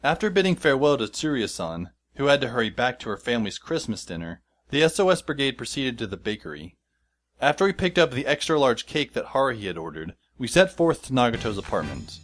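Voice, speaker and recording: A man's voice: mid-range voice, big pitch swings, brisk, a bit bright. A man narrating as a wry, sarcastic teenage boy with a dry, sardonic manner, over background music. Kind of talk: fan audio drama narration.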